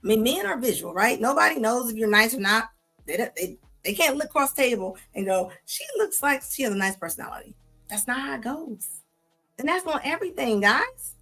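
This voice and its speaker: A woman's voice: fairly high pitch, animated, a little fast, pushed and bright, faint music behind. A woman hosting a live show, reacting to clips in a sassy, scolding tone with casual slang, often repeating herself. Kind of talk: live commentary on videos.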